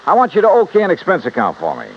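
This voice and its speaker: A man's voice: high voice, huge pitch swings, heavily acted. An actor playing a wry, confident male insurance investigator in a 1950s radio detective drama. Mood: persuasive, wry. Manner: wry, brisk, skeptical. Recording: muffled, noisy old radio recording.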